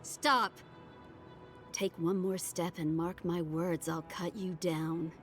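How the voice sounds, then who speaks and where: An actress playing a fierce woman archer defending a camp, sharp and threatening in a video game. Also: lowish voice, steady tone, takes it slowly, faint music behind. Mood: stern.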